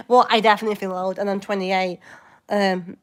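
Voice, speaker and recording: Rambling young woman, smooth voice. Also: medium pitch, fairly even intonation, normal speed. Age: 20s. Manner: rambling, hesitant, jumps between topics. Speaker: livestream host. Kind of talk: casual live chat with viewers.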